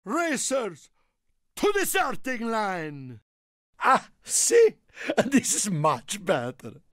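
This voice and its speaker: A man voicing a small, excitable, friendly Italian car in a video game, theatrical and exclamatory, with an Italian accent and broken English. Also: high-pitched, extremely slow, no echo, clean studio sound, heavily acted. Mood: eager, encouraging, then pleased. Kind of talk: animated video game character lines.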